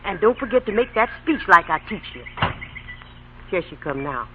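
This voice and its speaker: A woman's voice: medium pitch, big pitch swings, moderate pace, close to an everyday voice. An actress playing a bossy, scolding Southern mother in an old radio drama, folksy, with an American Southern accent. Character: bossy Southern mammy prodding a shy child. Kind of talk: 1940s radio drama acting. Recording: very noisy, tinny old radio broadcast.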